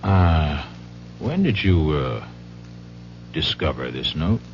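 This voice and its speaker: A shrewd, unhurried detective in an old radio mystery. His low voice swings expressively as he probes with hesitant, folksy asides. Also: sing-song, full character voice. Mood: tactfully probing. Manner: hesitant, probing, with murmured asides. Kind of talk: 1970s radio mystery acting. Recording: muffled, noisy 1970s radio recording with hum.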